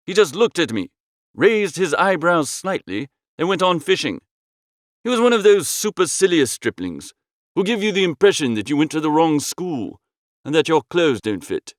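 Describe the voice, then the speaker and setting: A man narrating a comic first-person audiobook in a breezy, theatrical, chatty gentlemanly style. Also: a little high, big pitch swings, moderate pace, no echo, clean studio sound.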